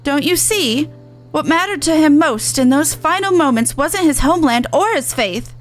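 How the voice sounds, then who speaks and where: Brisk, earnest charity merchant for a game, high female voice, colloquial and plain-spoken, played by a woman. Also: lively intonation. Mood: earnest, pleading. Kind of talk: reading video game dialogue in character.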